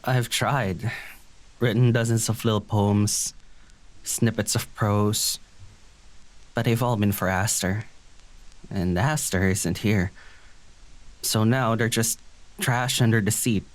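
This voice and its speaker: A withdrawn, weary poet. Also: male voice, lowish voice, fairly even intonation, takes it slowly, long pauses, low-quality recording. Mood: wistful, introspective. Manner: hesitant, introspective. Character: Glass, weary withdrawn poet in a creative slump. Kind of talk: audio drama dialogue and introspective narration.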